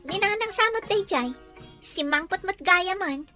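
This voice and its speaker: A woman's voice: very high voice, expressive, measured pace, music in the background. A woman with a Filipino accent acting plaintive, put-upon family women in an audio drama. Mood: weary, plaintive.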